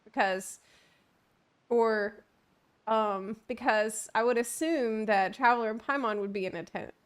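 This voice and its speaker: A woman's voice: on the high side, a little slow, clean tone. A woman streamer giving casual live commentary as she plays a video game. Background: faint game music.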